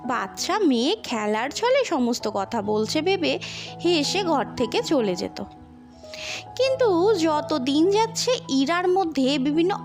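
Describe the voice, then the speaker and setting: A woman narrating a scripted ghost story in an expressive, dramatic storytelling manner. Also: sits high.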